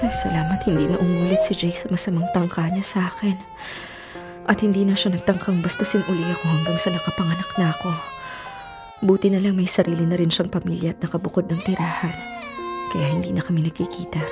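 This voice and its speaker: A woman's voice: lowish voice, level tone, fast talker, natural voice. A woman playing a proud, emotional young wife in a Filipino radio drama, with earnest melodramatic delivery and a Filipino accent. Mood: relieved. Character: Celia, young wife, tearful, grateful, fiercely proud. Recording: music behind the voice.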